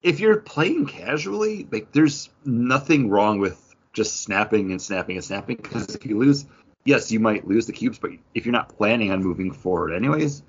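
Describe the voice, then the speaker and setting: A man's voice: lowish voice, lively intonation, normal speed, husky, lo-fi sound. A man co-hosting a casual gaming chat, explaining things in detail with plenty of slang. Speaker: podcast co-host.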